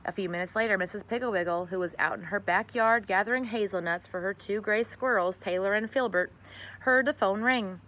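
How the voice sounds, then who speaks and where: A woman reading a children's story aloud as narrator, expressive and conversational. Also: medium pitch, a little fast, rough recording. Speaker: storybook reader.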